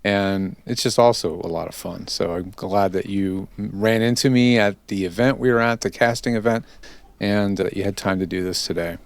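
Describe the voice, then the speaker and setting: A man's voice: sits low, normal speed, gravelly, no room sound. A man hosting an interview in a conversational, encouraging way. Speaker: podcast interview host.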